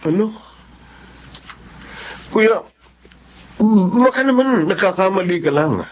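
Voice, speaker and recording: A trusting, betrayed Filipino husband in a radio drama. His high, animated voice sounds incredulous and pleading through slightly dull audio. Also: slow pace, heavily acted. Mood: shocked, pleading. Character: trusting, betrayed husband and younger brother, agitated.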